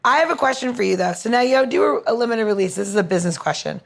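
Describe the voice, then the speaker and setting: A woman with a mid-pitched voice co-hosts a casual podcast chat. She talks in a blunt, informal way, free with slang and swearing. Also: racing, gravelly. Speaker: podcast co-host.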